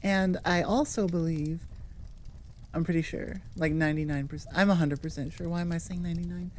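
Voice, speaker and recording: A woman's voice: lowish voice, steady tone, a little fast, a little mellow, a little hiss or hum. A woman hosting her own channel, chatting casually to listeners in a rambling, playful, self-correcting way. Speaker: ASMR creator, channel host. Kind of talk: casual chat outro to listeners.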